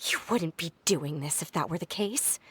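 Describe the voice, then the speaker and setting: A woman's voice: deep voice, animated, normal speed, slight background noise. A woman voicing a defiant young woman accused of betrayal in a game. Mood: bitter. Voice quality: defiant, bitter. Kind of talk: game voice acting.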